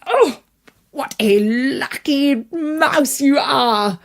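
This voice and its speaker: A woman voicing a suave, pompous older uncle mouse, a gourmet gentleman from a storybook, with genteel and effusive speech. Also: sits high, animated, drawn-out words, voice pushed up, exaggerated voice. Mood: delighted. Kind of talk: storybook character voice.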